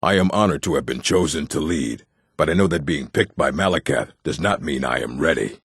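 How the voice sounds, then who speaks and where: A man voicing a gruff, proud orc warrior and smith in a fantasy video game, blunt and stern, with a gravelly, husky voice. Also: a bass voice, expressive, fairly quick, fairly bright tone, no room sound, studio quality, heavily acted. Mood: solemn, earnest. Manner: blunt, stern, clipped phrasing.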